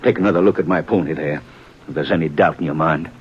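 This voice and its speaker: An actor playing an angry, scornful rancher with a harsh manner in a 1950s radio drama. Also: male voice, extremely low pitch, fast, soft background music. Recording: dull old radio broadcast.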